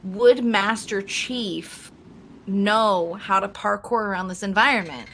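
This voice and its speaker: A woman's voice: mid-range voice, slow, a bit bright, a little hiss or hum. A woman co-commentating on a live game stream, casual and playful with dry jokes.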